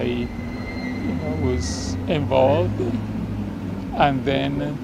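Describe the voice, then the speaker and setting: Slow, gravelly Barbadian priest. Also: male voice, fairly high pitch, background noise. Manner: slow, reflective, with many ums. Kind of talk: interview answers.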